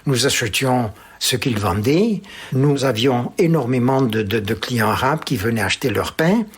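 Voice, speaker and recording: A man's voice: mid-range voice, animated, moderate pace, husky. A man in his seventies, born in Algeria, speaking with a Pied-Noir French accent and recalling his childhood with many hesitations and restarts. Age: 70s. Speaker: interviewee. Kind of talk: personal reminiscence.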